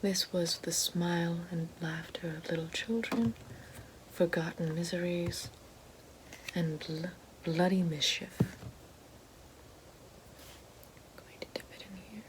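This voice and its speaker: A woman playing a regal, menacing evil queen witch in an ASMR fantasy roleplay, speaking slowly and formally in a soft, breathy, often whispered voice. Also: on the low side, steady tone, noisy background, lightly acted. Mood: ceremonious. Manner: slow, deliberate, formal.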